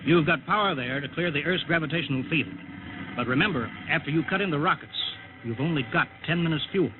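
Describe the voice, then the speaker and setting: A man's voice: a little high, fast, close to an everyday voice. An actor playing a male project chief who briefs a test pilot in a 1950s radio drama, urgent and emphatic. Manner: urgent, emphatic, gruffly joking. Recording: lo-fi old radio recording with hiss.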